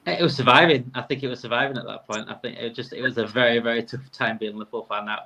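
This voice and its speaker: This is a man in his thirties with a Yorkshire accent. His pitch sits in the middle range for a man. He talks in a casual, conversational way, like a guest answering questions on a fan podcast. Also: steady tone, quick pace, pushed and bright. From Yorkshire, England. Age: 30s.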